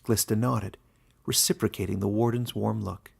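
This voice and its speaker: A man reading scripted fantasy narration in a deliberate, dramatic storytelling manner. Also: fairly low pitch, steady tone, gravelly, husky. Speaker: author and narrator.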